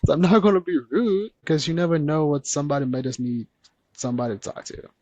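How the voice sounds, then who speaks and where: A man speaking gently and earnestly as a podcast guest, with a soft, soothing voice. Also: on the high side, fast, dry recording, muffled recording. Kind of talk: candid conversational interview.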